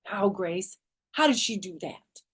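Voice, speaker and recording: A woman plays an excitable little schoolgirl from a children's storybook. The delivery is anxious and emphatic, lifted high and pushed louder, with dramatic childlike phrasing. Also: slow pace, slightly rough. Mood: pleading, eager. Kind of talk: animated children's storybook reading.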